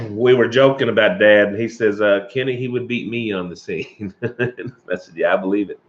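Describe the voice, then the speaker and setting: A man's voice: fairly low pitch, measured pace, pauses often, highs cut off. A man hosting a live stream, chatting loosely with viewers, chuckling often and coughing from allergies. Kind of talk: casual chat with live viewers.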